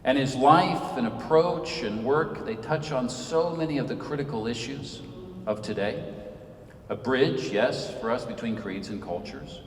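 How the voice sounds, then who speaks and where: A man in his fifties from Senegal, giving an earnest, measured public lecture as an author. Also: mid-range voice, a little hiss or hum. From Senegal, West Africa. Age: 50s. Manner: earnest, measured, emphatic delivery. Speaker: author and speaker. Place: large cathedral hall. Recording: echoey hall from far off.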